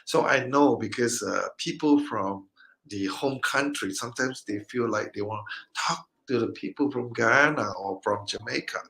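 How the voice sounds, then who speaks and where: Gravelly upbeat man hosting. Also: mid-range voice, bright, forceful tone, poor audio. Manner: halting, many fillers, upbeat. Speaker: interview host. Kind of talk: casual interview chat.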